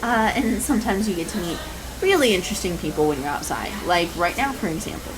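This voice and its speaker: A woman's voice: lowish voice, lively intonation, fast talker, lightly acted. A woman playing a shy, anxious, adorkable young woman in an intimate roleplay audio, rambling hesitantly and stammering. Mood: nervous, chatty. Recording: clear background coffee-shop ambience noise. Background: coffee shop ambience sound effects.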